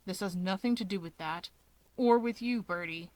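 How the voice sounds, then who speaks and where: A woman's voice: mid-range voice, moderate pace, a little mellow, no echo, lightly acted. A woman voicing a grumpy, sarcastic young male villain from a story, curt and irritated. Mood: flat, defensive.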